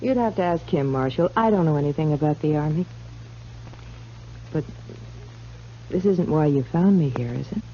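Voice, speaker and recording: A woman's voice: sits low, lively intonation, moderate pace, long pauses, dark tone. An American woman acting a coy, evasive young saloon girl in a 1950s radio western, guarded and coquettish. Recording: dull, noisy old radio recording.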